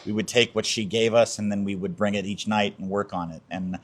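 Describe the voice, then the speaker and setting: A man's voice: fairly low pitch, steady tone, brisk. An older man, a community theatre actor, chatting casually as an interview guest.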